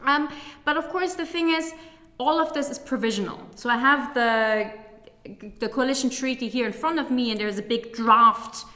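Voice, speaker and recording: Woman, panel analyst, bright forceful voice, emphatic precise explaining, strong room echo. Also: a little high, moderate pace. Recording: echoey, reverberant hall.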